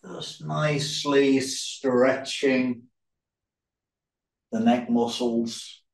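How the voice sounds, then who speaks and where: Slow-paced man guiding relaxation, roomy echo. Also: mid-range voice, gravelly. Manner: calm, unhurried, short phrases with pauses. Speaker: yoga instructor. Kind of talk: guided relaxation instruction. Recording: roomy echo, distant microphone.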